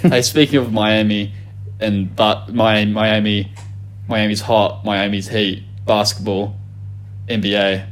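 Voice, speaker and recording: A man's voice: lowish voice, fairly even intonation, slow pace. Laughing, swearing young Kiwi guy. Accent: New Zealand. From New Zealand. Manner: swears freely, laughs often, rambling. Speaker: podcast co-host. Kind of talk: casual chat.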